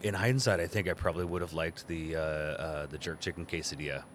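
Deep-voiced man, casual podcast chat. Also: a little fast, slight background noise. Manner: relaxed, chatty with fillers and restarts. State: voice a bit worn, deep. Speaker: podcast co-host. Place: outdoor courtyard at a convention.

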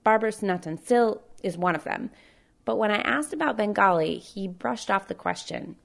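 A woman radio reporter narrating a news feature in a clear, measured way, with friendly questions in her interviews. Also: mid-range voice, animated.

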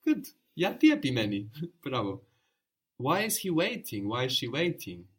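A man's voice: medium pitch, wildly swooping pitch, normal speed, breathy edge. A man teaching a language lesson, patiently prompting his student with questions and brief praise.

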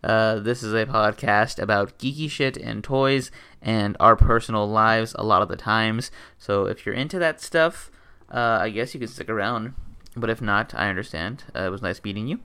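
A man's voice: lowish voice, normal speed, pushed and bright, smooth. A young man hosting a casual podcast, laid-back and swearing freely. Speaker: podcast host.

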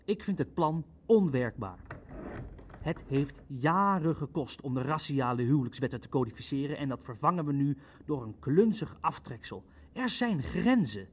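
An actor in an audio drama playing an indignant Nazi jurist who argues formally and insistently for rigid legal order. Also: male voice, fairly high pitch, lively intonation, normal speed, slight background noise, natural voice. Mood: insistent, exasperated.

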